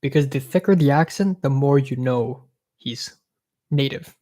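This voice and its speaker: A young man from Hong Kong who speaks with an American accent, hosting a casual livestream Q&A. His voice is mellow and warm, at a middle pitch for a man. He talks in a chatty, dryly self-mocking way and gives blunt opinions. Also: unhurried. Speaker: livestreamer answering viewer questions.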